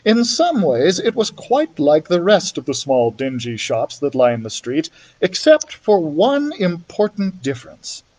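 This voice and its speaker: A man's voice: on the high side, huge pitch swings, measured pace, darkish tone. A man reading a children's story aloud expressively, as a library storyteller would.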